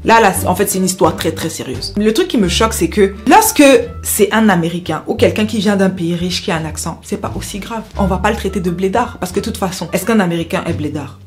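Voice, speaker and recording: A woman's voice: mid-range voice, lively intonation, quick pace, faint music behind. A woman from Cameroon with a Cameroonian French accent, speaking straight to her viewers in an impassioned vlog and repeating herself for emphasis. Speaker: YouTuber.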